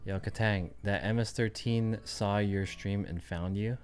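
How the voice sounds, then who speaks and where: A man's voice: lowish voice, steady tone, unhurried, a little mellow, soft background music. A man chatting casually on his livestream, rambling and thinking aloud with lots of fillers. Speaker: streamer.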